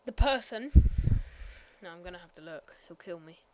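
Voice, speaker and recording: A woman giving a casual, rambling game tour voiceover, hesitant and full of ums and apologies, sometimes bursting out loud. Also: lowish voice, lively intonation, moderate pace, slight background noise, low-quality recording. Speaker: gameplay commentator.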